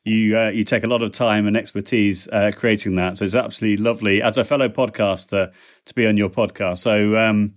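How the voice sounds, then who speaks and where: Middle-aged man, lowish voice, friendly rambling guest, lots of ums and restarts, remote interview. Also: fairly even intonation, fairly quick. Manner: chatty and warm, with frequent "uh" and "um" and small restarts. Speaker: guest, language technology consultant. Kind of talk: relaxed interview answers.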